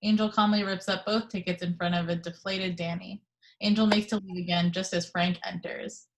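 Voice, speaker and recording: A woman reading the action descriptions at a script table read, in a plain, even manner. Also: lowish voice, moderate pace, slight background noise, poor audio. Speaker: narrator reading stage directions.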